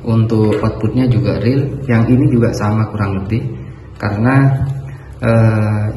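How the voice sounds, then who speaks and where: Low, mellow man in echoey room. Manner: explanatory, step-by-step. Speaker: product reviewer. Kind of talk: casual hands-on product review and demonstration. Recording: echoey reverberant room with background noise.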